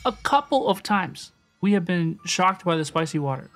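A woman's voice: on the low side, big pitch swings, normal speed. A young woman game streamer chatting casually with viewers as she plays, often repeating her phrases. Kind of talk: casual live-stream chat and gameplay commentary. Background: music.

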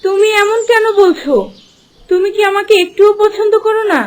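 A woman playing a gentle, lovestruck young landlord's daughter in a cartoon fairy tale. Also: extremely high pitch, fairly even intonation, moderate pace, a little hiss or hum. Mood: tender. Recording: clear music behind the voice.

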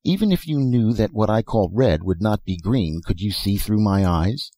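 A man playing a skeptical, practical-minded fellow who answers in blunt, gruff retorts, in a storybook reading. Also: fairly low pitch, animated, moderate pace, mellow, no room sound.

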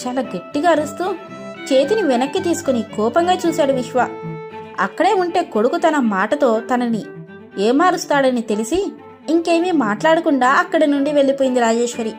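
A woman's voice: sits high, animated, moderate pace, over music. A woman storyteller reading a scripted story narration.

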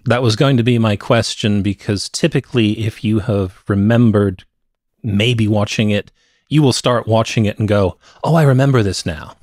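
A middle-aged man co-hosting a podcast, telling stories about movies in a casual, deliberate way. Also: fairly low pitch, a little mellow, no room sound, studio quality. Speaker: podcast co-host. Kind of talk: casual movie review chat. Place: cluttered home room with shelves. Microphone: close podcast mic with headset.

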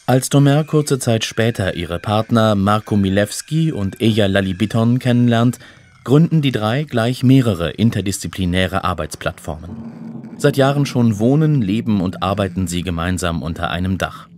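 A man's voice: lowish voice, animated, normal speed, darkish tone, slight background noise. A man narrating a radio documentary from a script. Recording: music under the voice. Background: music.

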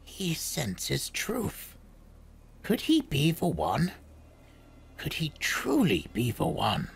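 A man's voice: a little high, huge pitch swings, unhurried, a bit bright, voice pushed up, full character voice. A man reading aloud, voicing a stern, commanding old woman elder with a clipped, probing manner. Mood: hushed.

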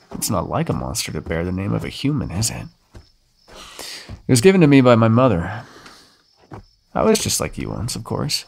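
A man's voice: slight background noise, exaggerated voice. A man voicing a centuries-old male vampire in an ASMR audio roleplay, taunting and mocking the listener he calls dearie. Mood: menacing. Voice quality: low gravelly voice, husky.

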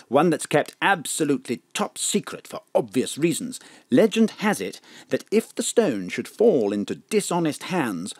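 An actor playing a furtive, fussy top civil servant, conspiratorial and animated in a comic audio drama. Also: male voice, medium pitch, husky, no echo, clean studio sound.

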